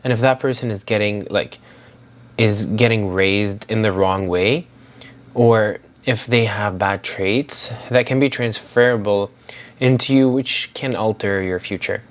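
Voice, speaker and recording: A man's voice: lowish voice, a little slow. A man in his twenties, originally from Syria, talking reflectively in a personal daily vlog, rambling with many fillers and restarts. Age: 20s. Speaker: daily vlogger.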